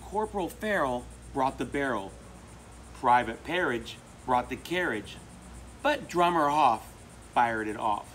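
American man, middle-aged, children's storybook reader, emphatic, lively varied pitch, outdoor recording, faint insect noise. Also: measured pace. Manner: expressive, emphatic delivery. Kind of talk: reading a children's picture book aloud. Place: outdoors among palm trees. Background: crickets and insects.

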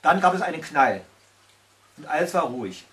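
A man's voice: fairly high pitch, big pitch swings, normal speed, fairly bright tone. An older man reading a fairy tale aloud as a storyteller, recorded indoors a little way from the camera. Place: small indoor room by a tiled stove.

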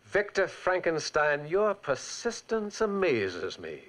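An actor playing a genial, urbane university professor, courtly and wry, in 1940s radio drama style. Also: male voice, on the high side, huge pitch swings, takes it slowly, heavily acted. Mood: wry, teasing. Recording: vintage 1940s radio recording.

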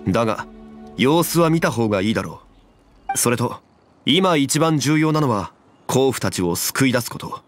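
An actor playing a composed, dignified, knowledgeable man in an anime game, speaking formally and deliberately. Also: medium pitch, expressive, faint music behind, lightly acted. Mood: grave, decisive.

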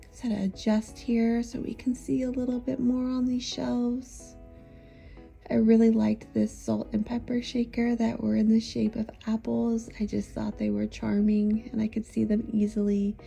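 A woman in her thirties narrating a thrift shopping trip in a chatty, relaxed, easily amused way. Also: a little high, creaky. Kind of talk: casual voice-over narration.

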